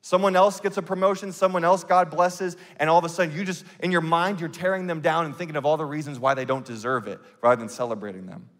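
A man in his thirties preaching a sermon on a church stage into a handheld mic, earnest and conversational, with quick bursts and restarts. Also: on the high side, fairly even intonation, a bit bright, in a large hall. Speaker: pastor.

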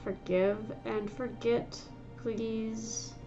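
A woman doing a calm, patient she-cat leader of a dwindling clan, soothing and steady. The audio is slightly dull and low-fidelity, with faint music behind. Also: very slow. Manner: gentle, soothing.

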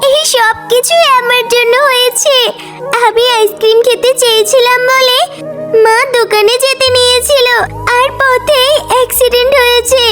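A little girl fox cub in a children's cartoon. The voice is extremely high, thin and childlike, earnest and pleading, with clear music playing behind it. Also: level tone, moderate pace, a bit bright. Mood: tearful, distressed.

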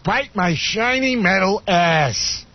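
A man's voice: high-pitched, lively intonation, slow pace, voice pushed up, full character voice. A man voicing a rude, boastful robot in a parody cartoon dub. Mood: taunting. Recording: dull lo-fi sound, faint music.

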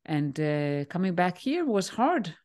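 A woman in her forties from Israel, a native Hebrew speaker, answering interview questions on a video call with a headset, pausing often with fillers. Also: lowish voice, big pitch swings, darkish tone. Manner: thoughtful, pauses with many "uh" fillers. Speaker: guest, leadership coach. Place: home room, indoors.

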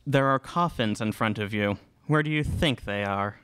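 Man playing smooth, taunting vampire villain. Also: lowish voice, moderate pace, fairly bright tone, no room sound, clean studio sound, lightly acted. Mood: dry. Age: young adult. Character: mocking, arrogant male vampire villain. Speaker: student radio play performer. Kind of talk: live radio theatre acting. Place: small classroom studio. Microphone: stand microphone, close.

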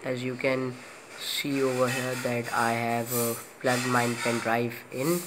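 A man with an Indian accent giving an unscripted step-by-step tech demonstration in a halting, repetitive way. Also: mid-range voice, completely flat delivery, heavy background noise. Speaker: tech tutorial presenter. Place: indoor room in a home, in front of a TV.

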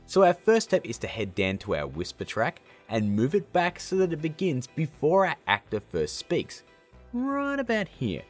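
Male how-to host, casual and upbeat, highly varied intonation, a touch of rasp. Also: wildly swooping pitch, moderate pace, faint music behind. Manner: upbeat, chummy, addressing viewers as guys and gang. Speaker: tutorial host. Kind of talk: casual how-to tutorial narration.

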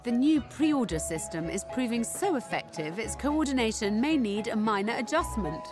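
A woman reading scripted narration for a reality show, measured and clear. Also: fairly high pitch, animated. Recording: music bed underneath.

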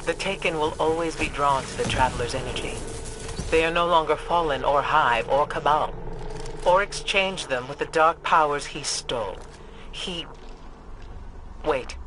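Serious resolute lady warrior scholar, video game dialogue, noisy rumbling effects behind her. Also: unhurried. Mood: grave, pondering. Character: grave, resolute female warrior-scholar mentor.

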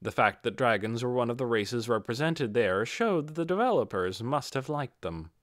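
A man's voice: mid-range voice, expressive, normal speed, no room sound, studio quality. A man reading a fantasy novel aloud as an amateur audiobook narrator.

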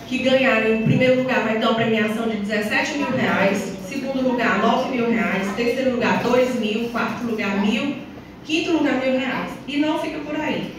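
Echoey, distant northeastern Brazilian woman councillor. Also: on the high side. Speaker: town councillor. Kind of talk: semi-formal public speech. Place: small council chamber, indoors. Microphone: phone or camera several metres away. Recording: distant, very echoey, noisy room recording.

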